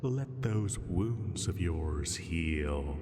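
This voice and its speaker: A man voicing a huge male dragon in a roleplay sleep-aid drama, teasing and soothing with gentle menace, lazily amused, with drawn-out pauses. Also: sits low, animated, heavy background noise, exaggerated voice. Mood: coaxing, languid. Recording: long cavernous reverb.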